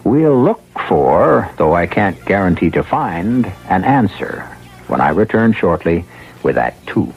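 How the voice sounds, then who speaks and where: Male radio drama host: deep, animated, measured, sardonic, rhetorical, thin old broadcast sound. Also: creaky. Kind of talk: 1970s radio mystery introduction. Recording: muffled vintage radio, music behind.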